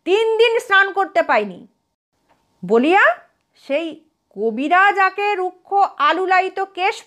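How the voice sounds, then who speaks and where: A woman reading literary fiction aloud as a narrator, in an expressive, dramatic scripted style. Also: sits high. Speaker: story narrator.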